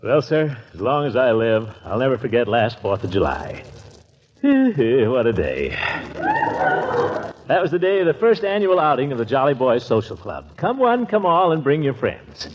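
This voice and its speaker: A man's voice: medium pitch, very animated, normal speed, lightly acted. Man acting pompous blustery uncle, muffled old radio. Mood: nostalgic, jovial. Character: pompous, fussy middle-aged uncle recalling his misadventures. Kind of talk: 1940s radio comedy acting. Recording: muffled, noisy 1940s radio recording.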